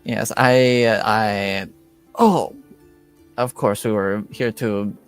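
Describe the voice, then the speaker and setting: Game master as a befuddled, halting noblewoman. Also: medium pitch, fairly even intonation, clear, resonant tone, soft background music. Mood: flustered. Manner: halting, apologetic. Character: befuddled, polite noblewoman with a fogged memory.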